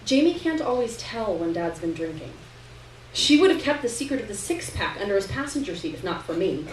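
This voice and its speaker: A woman giving an expressive, dramatic first-person reading of a story at a live literary event. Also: medium pitch, fairly quick. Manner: dramatic delivery, acting out dialogue. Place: indoor room at a live reading. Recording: roomy, distant live recording with noise.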